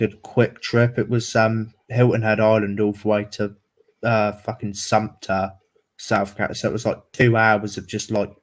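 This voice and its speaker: A man from South Africa with a mixed South African, English, American and Irish accent, chatting in a casual, rambling, jokey way on a livestream. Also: on the low side. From South Africa, living in England.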